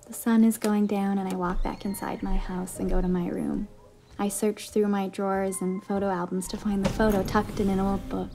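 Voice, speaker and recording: A woman's voice: medium pitch, level tone, moderate pace, mellow. A young woman reading a scripted first-person short story as a narrator. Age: young adult. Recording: music behind the voice.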